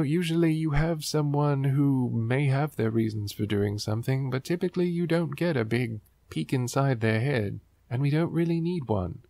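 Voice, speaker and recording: A man's voice: a little high, gravelly, dark tone, no room sound. A man hosting a book riffing show, reading aloud and commenting in a sarcastic, wry, exasperated way.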